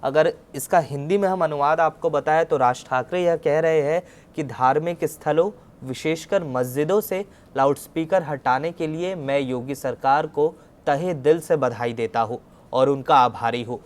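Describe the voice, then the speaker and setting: A man's voice: fairly high pitch, moderate pace. A man presenting a news report, explaining as he goes and often restating his points.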